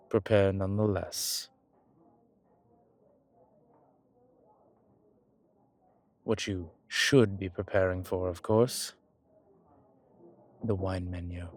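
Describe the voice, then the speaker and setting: A man playing an ancient vampire commander in an intimate audio roleplay, speaking with formal, old-fashioned diction and a measured, haughty manner. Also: low voice, a little hiss or hum. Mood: warm, gracious. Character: ancient male vampire commander, haughty yet tender to his companion. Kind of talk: intimate audio roleplay acting, one side of a conversation.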